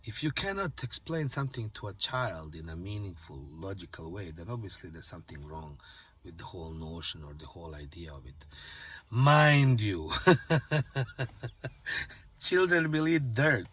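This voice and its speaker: A man from Bosnia talking in a casual, reflective vlog, musing softly and often dropping to a near-whisper, from a parked car. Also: low voice, animated, unhurried, husky. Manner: musing, soft-spoken, drifts into near-whispers. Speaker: vlogger.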